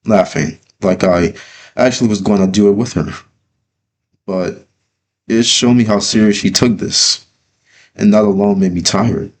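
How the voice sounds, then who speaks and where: A man reading his own story as a dramatic first-person narration, earnest, with deliberate pauses. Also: low voice.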